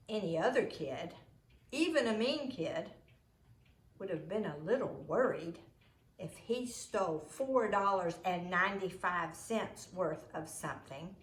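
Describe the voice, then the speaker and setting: Woman reading a storybook out loud, slow and really animated, kinda echoey room. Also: medium pitch. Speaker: parent reading a story aloud. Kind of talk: expressive storybook read-aloud.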